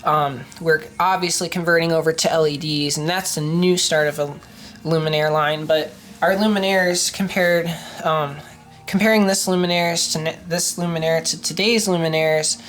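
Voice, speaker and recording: A woman's voice: low voice, steady tone, moderate pace. A young woman with a stuffy, congested voice from a cold, chatting enthusiastically with lots of ums and restarts as she shows off a collected item. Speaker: streetlight collector and vlogger. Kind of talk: casual show-and-tell vlog.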